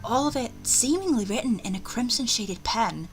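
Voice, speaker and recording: A woman's voice: a little high, animated, normal speed. A woman hosting a gaming video, reading a story aloud theatrically with casual commentary. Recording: faint music behind.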